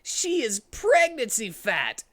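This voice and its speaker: A man's voice: very high voice, wildly swooping pitch, slow pace, pushed and bright, voice pushed up, full character voice. A man voicing a brash, earnest young male cartoon hedgehog hero with exaggerated, goofy emphasis. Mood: shocked.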